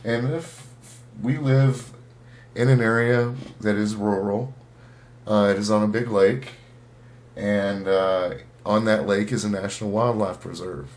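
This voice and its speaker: A man hosting a casual writing show, talking to his listeners in a halting way as he thinks aloud. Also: on the low side, fairly even intonation, darkish tone. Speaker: host of a writing-tips show. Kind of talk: casual talk to listeners about storytelling.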